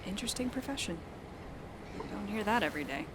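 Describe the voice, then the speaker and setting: Casting: actress as reserved female journalist, mid-pitched, politely inquisitive, noise behind. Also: lively intonation, fast, natural voice. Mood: polite, dry. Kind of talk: audio screenplay acting.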